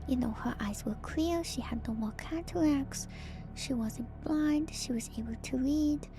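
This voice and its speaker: A woman streamer whispering softly and breathily in an ASMR style, musing gently and hesitantly through game lore commentary close to the microphone. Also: high voice, unhurried. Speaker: streamer, VTuber host. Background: music.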